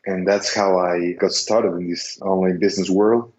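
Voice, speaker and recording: Casting note: young Mexican man, Spanish accent, low voice, careful non-native English, roomy muffled audio. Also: steady tone, moderate pace, darkish tone. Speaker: guest, business seller. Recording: echoey, dull lo-fi line.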